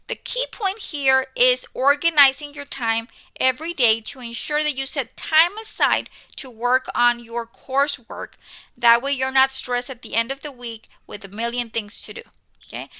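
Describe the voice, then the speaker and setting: A woman's voice: sits high, pushed and bright, smooth, no room sound. A woman in her forties, a native Spanish speaker from Mexico, welcoming students to an accounting course and often checking in with "okay?". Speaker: accounting instructor.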